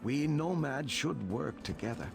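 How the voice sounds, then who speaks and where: A man's voice: medium pitch, animated, unhurried, gravelly, over music. An actor playing a proud barbarian tribesman in a game, declaiming his lines dramatically. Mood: proud, appealing. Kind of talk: dramatic scripted game dialogue.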